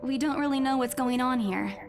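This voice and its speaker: A flustered, high-pitched young agent. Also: female voice, a little fast, over music. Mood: flustered, apologetic. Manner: hesitant, apologetic. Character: flustered, timid young female estate agent. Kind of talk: visual novel voice acting.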